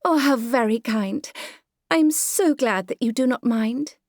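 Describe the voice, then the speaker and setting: A woman's voice: on the high side, a little slow, a little mellow, no room sound, clean studio sound, pitched above the performer's own voice, close to an everyday voice. A woman voicing a charming, bossy Victorian mother in an audiobook, gushing and sweetly insistent in her politeness. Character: charming, bossy, unabashed Victorian mother talking over everybody. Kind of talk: audiobook character dialogue.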